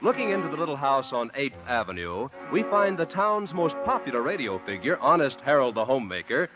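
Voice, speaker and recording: A male announcer giving a measured, formal scripted introduction on a 1950s radio show. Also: mid-range voice, big pitch swings. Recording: dull 1950 broadcast with music behind.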